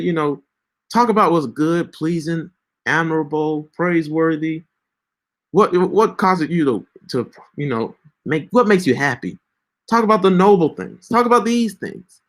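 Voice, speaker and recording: A man in his late twenties giving casual, preachy social media advice with lots of fillers and restarts. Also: fairly high pitch. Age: 20s. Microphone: screen-share recording setup.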